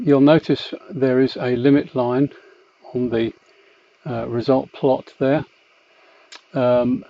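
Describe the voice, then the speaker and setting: A man explaining a technical software demonstration in a methodical, hesitant way, pausing as he goes. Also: mid-range voice, gravelly. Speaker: company executive presenting a product tutorial. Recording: slightly dull, muffled lo-fi voice track.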